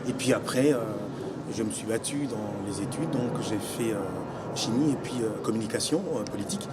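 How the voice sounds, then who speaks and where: A young man from Congo who lives in Belgium, giving deliberate, explanatory interview answers as an election candidate. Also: on the low side, over loud music. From Congo, living in Belgium since 1984. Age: young adult. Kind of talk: semi-formal interview answers. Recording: noisy location with background ambience.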